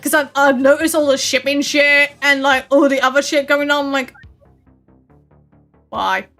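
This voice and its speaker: A woman's voice: high-pitched, steady tone, unhurried, a bit bright, soft background music. A woman hosting a stream, talking bluntly and with plenty of swearing in casual chat. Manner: blunt, profane, ranting.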